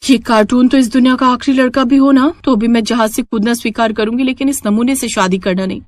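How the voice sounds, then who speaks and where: A prim, snippy young flight attendant from a cartoon tale, played by a woman narrator. She has a fairly high voice with a dry, close sound. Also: steady tone, quick pace, dry recording, lightly acted. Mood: annoyed. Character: exasperated young air hostess, prim and sharp-tongued.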